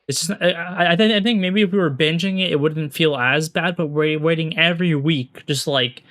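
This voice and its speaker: This man has a somewhat higher voice and a dry, close sound. He co-hosts a casual podcast, rambling in slangy, halting phrases that he often starts over. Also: a bit bright, dry recording. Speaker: podcast co-host.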